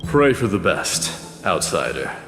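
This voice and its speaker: A man playing a proud, gruff warrior lord in a video game, stern, contemptuous and threatening. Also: low-pitched, sing-song, slow pace, heavily acted. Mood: grudging, aloof. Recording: echoey hall reverb, music underneath.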